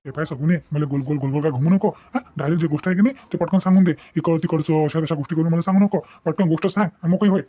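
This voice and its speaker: Cartoon young village husband, played by a man: high voice, extremely fast, blunt, exasperated, rural Vidarbha Marathi accent. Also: warm tone, lo-fi sound, natural voice. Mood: irritated. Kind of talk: Marathi cartoon family-drama dialogue.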